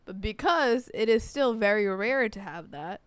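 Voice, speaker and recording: Clear, smooth-voiced young woman. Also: medium pitch, animated, unhurried, no echo. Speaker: podcast co-host. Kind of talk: casual podcast chat.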